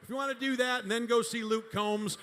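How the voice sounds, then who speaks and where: A man working as auctioneer and emcee at a charity gala, calling bids in a rapid-fire, booming delivery over a microphone in a large hall. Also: fairly even intonation, fairly bright tone. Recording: reverberant hall with music.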